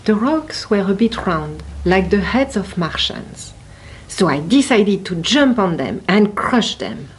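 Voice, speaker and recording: A woman reads a picture book aloud at a slow, unhurried pace. Her pitch sits in an ordinary middle range, and she leans on key words with deliberate, dramatic emphasis, as an expressive storyteller for children. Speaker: picture book reader at a library.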